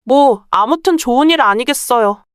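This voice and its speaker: Woman acting clever young merchant's daughter, folktale drama, high-pitched, polite, persuasive, close dry sound. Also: lively intonation, normal speed, clean tone, dry recording. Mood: persuasive, pleased. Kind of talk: acted Korean folktale dialogue.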